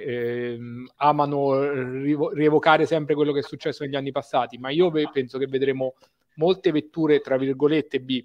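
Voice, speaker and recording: A man in his thirties giving a calm, methodical technical explanation over a headset mic on a video call. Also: medium pitch, dull audio. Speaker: technical commentator.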